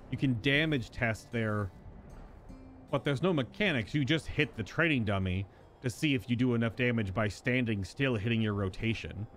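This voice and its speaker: Rambling male game streamer, lively pitch. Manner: rambling, musing, thinking aloud. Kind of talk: casual live stream commentary, reading game dialogue aloud.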